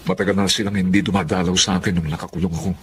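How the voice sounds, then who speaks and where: Low-voiced humble Filipino man. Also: fairly even intonation, very fast, fairly bright tone, a little hiss or hum. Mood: worried. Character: humble, remorseful husband freed from prison. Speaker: radio drama actor. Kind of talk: Filipino radio drama acting.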